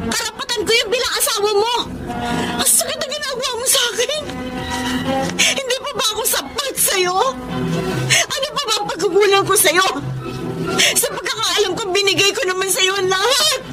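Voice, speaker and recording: A woman's voice: extremely high pitch, normal speed, pushed and bright, poor audio. A Filipino woman playing a long-suffering, hurt wife in a radio drama, speaking with emotional, pleading delivery. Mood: anguished, angry. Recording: music bed behind the voice.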